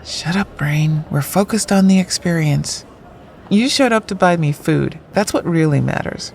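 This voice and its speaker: Gravelly, wry, blunt thirty-something writer. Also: female voice, low-pitched, lively intonation, measured pace, darkish tone, a little hiss or hum, full character voice. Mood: wry, pressured. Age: late 30s. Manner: dry, blunt, quippy. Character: wry, blunt woman writer nearing forty. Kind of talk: audio drama acting.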